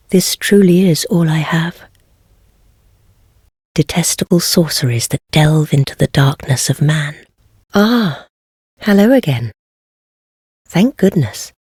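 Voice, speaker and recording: A woman playing a wry, world-weary heretical witch in a fantasy game, speaking in measured, archaic phrases with gentle teasing. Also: sits low, no room sound, clean studio sound, exaggerated voice. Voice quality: husky, breathy. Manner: measured, archaic formal speech, softly teasing. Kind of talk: scripted fantasy game voice acting.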